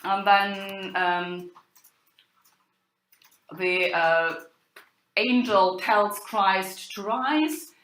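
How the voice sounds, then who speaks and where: Slow, halting woman lecturer, echoey room. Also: medium pitch, a bit bright. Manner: halting delivery with long hesitations. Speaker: lecturer, university professor. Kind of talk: spoken lecture on old drama texts.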